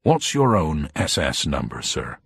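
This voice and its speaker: A man's voice: extremely low pitch, big pitch swings, a little slow, creaky, breathy edge, no room sound, studio quality, exaggerated voice. A man voicing a polite, watchful police superintendent who is deferential but doggedly suspicious. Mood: testing.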